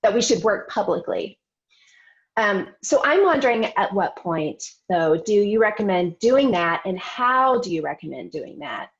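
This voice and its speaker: A woman moderating a workshop, asking careful, measured questions over a video call. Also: mid-range voice, expressive. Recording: dull lo-fi call audio.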